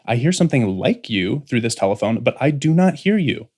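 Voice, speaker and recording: A man as an earnest, animated rebellious son. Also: mid-range voice, a little fast. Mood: defiant, insistent. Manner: insistent, intense, pleading. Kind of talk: full-cast audiobook acting.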